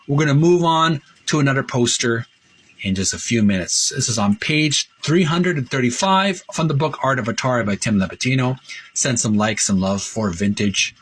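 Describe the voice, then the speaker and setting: A man hosting a retro gaming video, talking casually in a halting, wordy way, rephrasing himself as he shows and reads printed material. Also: medium pitch, fairly bright tone, slightly rough. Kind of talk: casual narrated show-and-tell, reading printed ad copy aloud.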